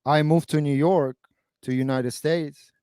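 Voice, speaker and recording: A man's voice: on the high side, fairly even intonation, a little slow, mellow, clear, resonant tone, no room sound, clean studio sound. A man in his thirties from Kazakhstan whose first language is Russian, chatting casually and hesitantly on a stream, with many fillers and restarts. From Kazakhstan (then USSR). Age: 30s.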